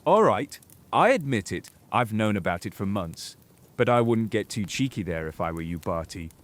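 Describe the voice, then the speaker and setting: A man acts as a hot-tempered, mistrustful wizard in a fantasy audio drama. His delivery is curt and accusing, with very animated pitch. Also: normal speed. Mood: grudging, mocking. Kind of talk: fan audio drama acting.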